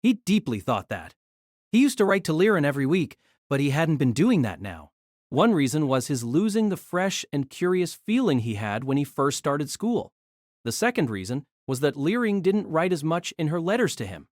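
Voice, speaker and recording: A man tells an audio drama story in an expressive way. His voice is rather high and moves up and down a lot. The recording is dry and close. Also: normal speed, dry recording. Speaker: narrator. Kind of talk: scripted audio drama narration.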